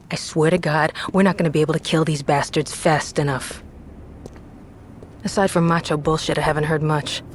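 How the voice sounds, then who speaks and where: Woman playing a low-voiced, tough street schemer. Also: slight background noise, close to an everyday voice. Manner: blunt, foul-mouthed, confident. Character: tough, sardonic, bossy young female gang informant.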